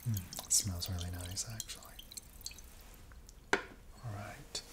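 A middle-aged man in a soft, breathy whisper, murmuring slowly and gently in an ASMR roleplay as a chemist. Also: deep voice, fairly even intonation. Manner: slow, gentle, unhurried step-by-step murmuring. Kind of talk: ASMR roleplay, soft-spoken personal attention. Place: small indoor set, seated at a worktable.

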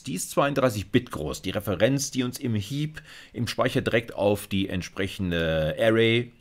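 A middle-aged man giving an informal screencast lecture, explaining live coding step by step in a colloquial way. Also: deep voice. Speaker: university computer science lecturer.

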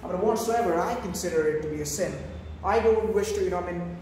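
Young male food vlogger, Indian accent, higher-pitched voice, verbose and grandiose, recorded in a very reverberant room. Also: quick pace, a little mellow. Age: young adult. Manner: rambling, verbose, grandiloquent, repeatedly addressing viewers. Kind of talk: casual vlog monologue. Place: small bare indoor room. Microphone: phone camera, held or propped at a distance. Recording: very echoey room, distant phone, faint noise.

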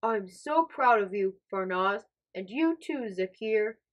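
A woman voicing a gentle, approving grown-up uncle in a children's storybook. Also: mid-range voice, expressive, slow pace, voice pushed down. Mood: proud. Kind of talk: children's storybook read-aloud.

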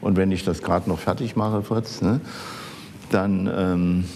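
An elderly man from the Saarland in Germany reminiscing in conversation, haltingly searching for words. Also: steady tone, darkish tone. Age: older, 70s or 80s. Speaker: architect interviewed as an eyewitness. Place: large office archive room, indoors. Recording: echoey room with rumbling background noise.

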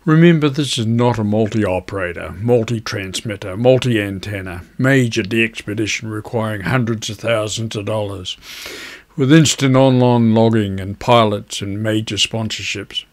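A man in his seventies giving an instructional talk to camera in a measured, conversational, avuncular way. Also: lowish voice. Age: 70s. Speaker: video host, ham radio hobbyist. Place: indoors at a desk, virtual backdrop.

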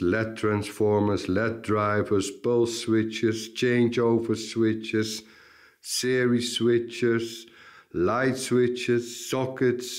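Slow-talking man in an echoey room. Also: lowish voice, a little mellow. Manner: slow, thinking aloud with fillers and restarts. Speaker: vlogger renovating a farmhouse. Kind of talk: casual project update vlog. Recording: roomy echo, mic at a distance.

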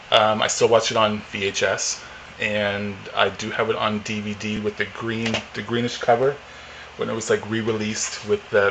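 Forties male vlogger, low monotone, loose rambling delivery, home camera recording with faint hiss. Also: poor audio. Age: 40s. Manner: rambling, many fillers and restarts. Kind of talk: casual unboxing chat. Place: home living room, indoors.

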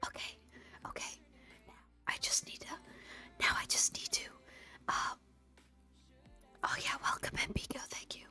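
A woman's voice: measured pace, long pauses, sharp tone, soft background music. A woman streaming a game, whispering ASMR-style while dramatically complaining about her suffering. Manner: dramatically complaining, self-pitying. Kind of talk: live gameplay stream commentary.

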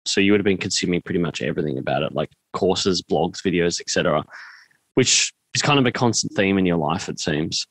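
A man's voice: low-pitched, lively intonation, a little fast, a bit bright, breathy edge, no echo. An Australian man in his thirties hosting a podcast, asking roundabout, hesitant questions in a casual conversational way into a close studio mic. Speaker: podcast host, interviewer. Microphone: close studio mic on boom arm, headphones.

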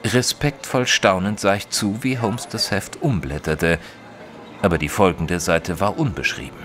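A man playing a thoughtful detective's companion who narrates a mystery audio drama in a measured, literary way. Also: low voice, fairly bright tone. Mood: pensive. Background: music under the voice.

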